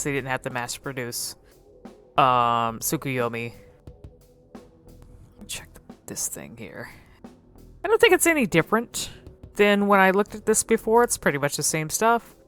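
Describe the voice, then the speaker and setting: A woman giving casual game commentary as a video creator, thinking aloud in a halting, musing way. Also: deep voice, fairly bright tone, smooth.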